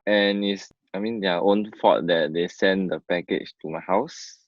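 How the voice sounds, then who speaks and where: A man's voice: low-pitched, measured pace, dry recording. A man in his twenties with a Singaporean English accent, joking casually in a group chat. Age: 20s. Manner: joking, relaxed, ends lines with lah. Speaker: panel guest. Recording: dull dry lo-fi sound.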